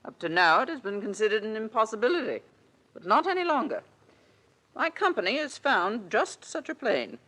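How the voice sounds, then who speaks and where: Brisk older businesswoman, very lively pitch. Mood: brisk, confident. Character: big brisk sixtyish woman, hearty aircraft company boss. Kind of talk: 1940s radio acting.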